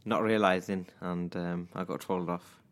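A man's voice: deep voice, level tone, unhurried. A man with a Manchester accent, co-hosting a podcast in casual chat and then reading a true-crime story, with a hesitant manner full of ums and restarts. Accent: Mancunian. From England. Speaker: podcast co-host.

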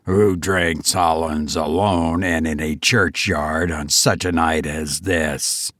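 A man voicing a stern, menacing goblin with a deep voice, taunting slowly in a storybook reading. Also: steady tone, a bit bright, no echo, studio quality, exaggerated voice.

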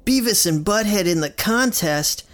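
Man doing a high-pitched, excitable cartoon boy. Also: a little slow, soft background music. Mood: excited.

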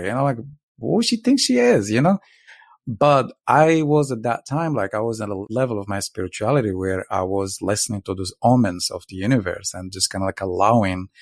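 A man's voice: on the low side, dry recording, clean studio sound. A man telling stories casually in conversation as a podcast guest, in a reflective, philosophical manner. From Morocco.

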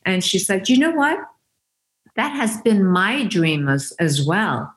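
A woman's voice: medium pitch, very animated, takes it slowly. A woman from Iran whose first language is Farsi, telling stories earnestly and reflectively as an interview guest, with frequent restarts. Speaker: interview guest, founder of a women's giving circle. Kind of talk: conversational interview storytelling.

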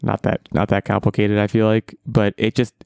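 A man hosting a podcast in casual chat, rambling and self-deprecating, his voice going hoarse. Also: on the low side, monotone, normal speed, a little mellow, no echo, clean studio sound. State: losing his voice. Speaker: podcast host.

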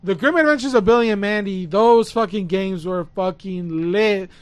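A man's voice: high-pitched, normal speed, clear, resonant tone, no echo. A man co-hosting a podcast in casual chat, hyped and slangy with heavy swearing. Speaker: podcast co-host.

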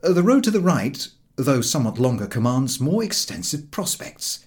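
Very animated male audiobook narrator. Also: medium pitch, moderate pace. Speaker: audiobook reader. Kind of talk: expressive scripted narration of comic literature.

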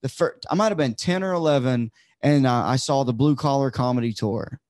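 A man's voice: medium pitch, lively intonation, fairly quick, darkish tone, dry recording. Teasing man in his twenties, dry sound. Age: 20s. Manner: teasing, chatty, many fillers. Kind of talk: casual chat.

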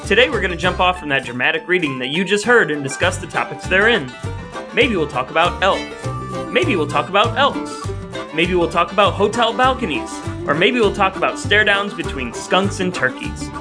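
A man's voice: sits high, sing-song, moderate pace, music in the background. A man hosting a podcast with his brother, speaking in wordy, mock-grandiose phrases that move between scripted lines and banter. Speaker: podcast host.

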